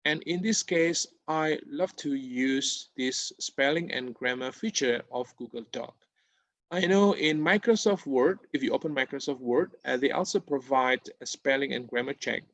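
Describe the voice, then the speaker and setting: A man's voice: mid-range voice, moderate pace, a bit bright, no room sound. A man in his thirties whose first language is Japanese, presenting a screen-share tutorial in a webinar, with hesitant speech full of fillers and restarts, recorded on a laptop mic over a video call at home. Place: indoor room at home.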